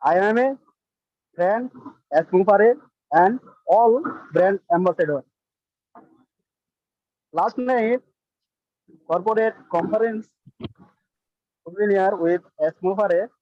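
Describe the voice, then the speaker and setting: A Bangladeshi man with a Bangladeshi accent speaking fervently and haltingly as a brand ambassador on a webinar. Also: sits high, no room sound. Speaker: brand ambassador giving testimonial. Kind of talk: halting, emphatic speech to a webinar. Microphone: online video call. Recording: dull lo-fi call audio.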